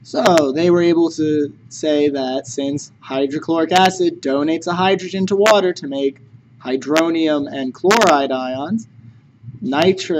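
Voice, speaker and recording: A man teaching chemistry in a recorded lecture, conversational and jokey. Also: on the high side. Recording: noticeable background noise.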